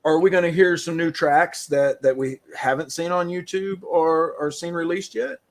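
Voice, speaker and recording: Chatty folksy host. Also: male voice, fairly high pitch, normal speed. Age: middle-aged. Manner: chatty, easy-going, folksy. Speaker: podcast host. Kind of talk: casual interview chat.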